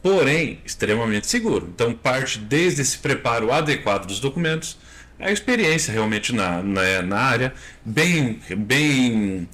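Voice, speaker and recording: A Brazilian man in his thirties, a lawyer answering interview questions in a measured, step-by-step way with frequent tag questions. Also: medium pitch, a bit bright. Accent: Brazilian Portuguese.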